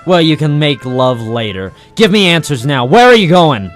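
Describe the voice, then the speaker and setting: An actor playing a tough, cynical dockside private eye in 1940s radio noir style, deadpan and hard-boiled. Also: male voice, on the high side, moderate pace. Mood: tough, pressing. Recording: vintage 1940s radio recording with music.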